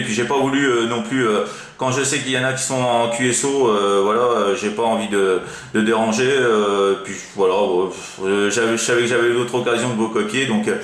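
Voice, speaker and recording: A man's voice: on the low side, a bit bright. A man from France chatting casually as a CB radio operator, in a hesitant, rambling way full of verbal tags. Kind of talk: casual amateur radio chat. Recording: roomy echo, faint background noise.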